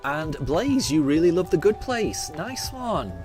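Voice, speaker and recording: A man's voice: on the high side, very animated, unhurried, a little mellow. A man in his forties from Yorkshire with a faded Yorkshire accent, chatting hesitantly with his live-stream chat over many ums and restarts while he plays. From Yorkshire, England. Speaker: game streamer. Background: game music.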